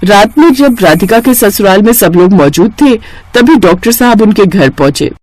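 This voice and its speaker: A woman's voice: mid-range voice, expressive, moderate pace, darkish tone, dry recording, rough recording. A woman storyteller reading a scripted moral tale.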